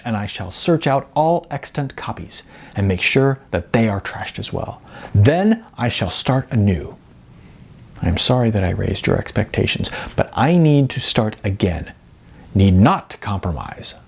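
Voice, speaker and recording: A man's voice: fairly low pitch, sing-song, measured pace, vocal fry, darkish tone, breathy edge, heavily acted. A man voicing a pompous, earnest male screenwriter in an audiobook, speaking in a stilted, formal, grandiose manner. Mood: solemn resolve. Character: pompous, earnest male aspiring screenwriter leaving a phone message.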